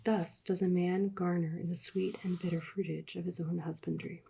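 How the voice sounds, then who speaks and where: A woman reading aloud in a soft, soothing, soft-spoken ASMR style that often drops into whispers. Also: fairly low pitch, little pitch movement, creaky, a little hiss or hum. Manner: soft, slow, drifting into whispers. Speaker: ASMR reader.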